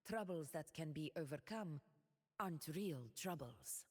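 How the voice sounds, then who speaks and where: Proud noble ruler in a game, solemn, measured, slow-spoken, echoing hall. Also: female voice, low voice, lively intonation. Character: proud noble woman ruler, steadfast warrior. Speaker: game character voice lines. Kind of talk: game voice lines.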